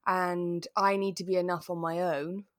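A woman co-hosting a relaxed discussion podcast, retelling things expressively in a conversational way. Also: lowish voice, moderate pace. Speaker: podcast co-host. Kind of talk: relaxed chat reviewing a radio drama.

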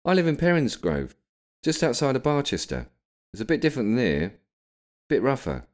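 A man's voice: medium pitch, wildly swooping pitch, moderate pace, pitched above the performer's own voice. A man voicing an awkward, down-to-earth young man in a narrated story.

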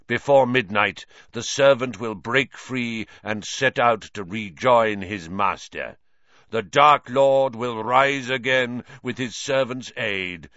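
A man voicing an entranced woman speaking a prophecy in a loud, harsh, slow and solemn voice. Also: fairly low pitch, vocal fry, dry recording, muffled recording, heavily acted.

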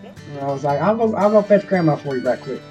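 Over a dull, lo-fi call with guitar music behind it, a man with a Southern US accent chats casually as a podcast guest. His voice sits a little high for a man. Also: animated, fast talker, warm tone. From Georgia, USA. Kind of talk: casual storytelling chat.

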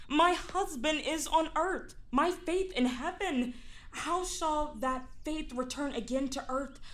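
A woman's voice: high-pitched, fairly bright tone, exaggerated voice. A woman playing a desperate young noble daughter in a Shakespeare reading, pleading and earnest.

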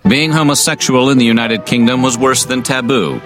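A man's voice: medium pitch, a little fast. A man delivering scripted narration for a history video. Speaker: documentary narrator. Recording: narration under loud music.

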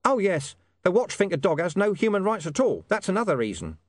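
A man's voice: high voice, huge pitch swings, fairly quick, no echo, pitched above the performer's own voice, exaggerated voice. A man voicing a shady, streetwise talking dog who poses as a mysterious informant in an audiobook story. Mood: sly, conspiratorial. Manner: streetwise, evasive, menacing slang.

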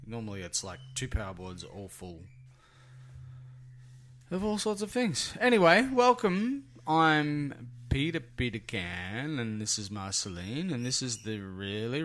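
A man with a hoarse, raspy voice hosting a casual podcast from a small home studio, rambling and mocking himself. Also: medium pitch, a bit bright. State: hoarse from singing. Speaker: podcast host.

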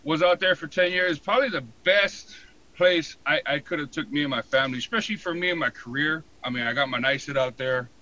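An American man in his forties, telling his story casually in an interview with a halting, colloquial delivery full of "you know". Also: on the high side, bright, forceful tone, highs cut off. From San Diego, born in Hawaii. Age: 40s. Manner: halting, colloquial, frequent 'you know'. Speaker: podcast guest, fire protection inspector.

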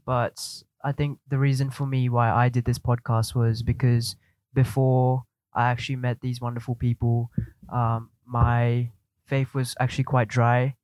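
A young man from Australia chats on a podcast in an easygoing way. His voice has a middle pitch that stays fairly even. The sound is dry and close. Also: a little slow, no echo. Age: young adult. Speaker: podcast co-host. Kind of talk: casual chat.

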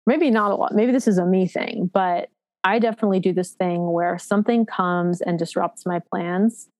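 Woman, 30s, lowish voice, dry close sound; frank self-deprecating personal storyteller, halting with lots of fillers. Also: darkish tone, no echo. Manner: reflective, halting, with many fillers and restarts.

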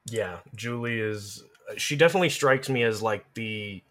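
A man in casual podcast conversation about game lore, thinking aloud in halting phrases. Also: lowish voice, fairly bright tone. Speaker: podcast speaker.

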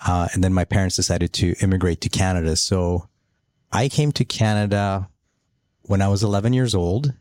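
Casting: middle-aged Polish-born man, deep voice, dry close sound, easygoing podcast chat. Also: moderate pace, dry recording. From Poland. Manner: relaxed, rambling, with casual swearing. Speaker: company co-founder.

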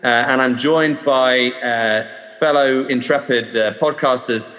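A man's voice: mid-range voice, unhurried, a bit bright. A man from the UK with a London English accent, hosting a podcast in a hesitant, filler-heavy way. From United Kingdom. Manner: hesitant, many ums and uhs. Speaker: podcast host. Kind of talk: casual podcast discussion. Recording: dull roomy remote audio.

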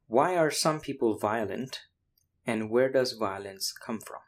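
A man whose first language is Bangla, hosting and narrating with a measured, explanatory delivery. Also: mid-range voice. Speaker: podcast host and narrator. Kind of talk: scripted narration and casual interview.

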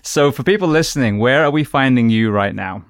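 A man's voice: medium pitch, animated, brisk, no room sound. A man hosting a podcast, asking his guest questions in a courteous way. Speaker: podcast host. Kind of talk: interview questions.

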